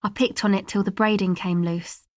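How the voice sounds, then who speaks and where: Actress doing a chill sardonic young woman for a video game, slangy n deadpan, super dry close sound. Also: mid-range voice, moderate pace, no room sound, lightly acted. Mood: matter-of-fact. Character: laid-back, sardonic young woman who records field sounds. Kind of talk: video game character dialogue.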